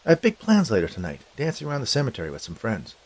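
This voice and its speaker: Storybook skeleton ghost male reader: gravelly, lively, wry, casual wisecracker. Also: medium pitch, racing, full character voice. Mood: breezy, teasing. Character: skeleton spirit, cigar-smoking, breezy wisecracking guide.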